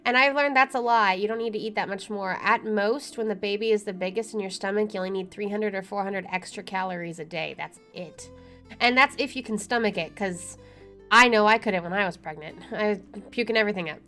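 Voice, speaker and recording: A woman with a mid-pitched voice plays through a story game. She reads its text aloud and slips into wry, chatty commentary. Faint game music runs underneath. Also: fairly quick, a bit bright. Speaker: let's play streamer.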